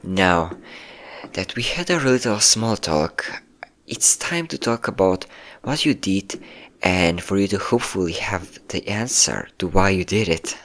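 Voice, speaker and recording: A man acting a deep, possessive yandere ex-boyfriend. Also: expressive, a little slow, fairly bright tone, exaggerated voice. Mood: menacing, coaxing. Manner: coaxing, menacing, calling listener pet names, nervous chuckles. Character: possessive, manipulative yandere ex-boyfriend hunting his hiding lover. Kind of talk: scripted ASMR-style audio roleplay.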